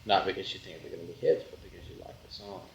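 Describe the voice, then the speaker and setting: A man with a fairly low voice puts casual questions as an interviewer. He sounds away from the microphone in a very echoey room. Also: wildly swooping pitch, very fast, creaky. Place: room in a house, away from mic. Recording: distant, echoey, dull, faintly noisy tape.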